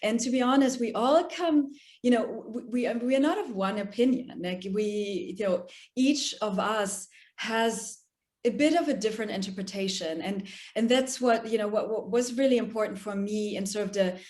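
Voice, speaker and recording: A woman who grew up in Germany, a documentary filmmaker answering questions in a festival Q&A, talking with many fillers, restarts and animated turns. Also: mid-range voice, normal speed, echoey room. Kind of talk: festival Q&A interview.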